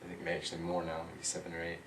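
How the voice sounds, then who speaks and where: Young guy with a low flat voice, chill and full of ums, recorded far off in an echoey room with noise behind. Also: rapid-fire. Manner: relaxed, thinking aloud with many fillers. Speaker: interviewee, rover systems engineer. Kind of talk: casual interview answers. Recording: roomy, distant mic, clear background noise.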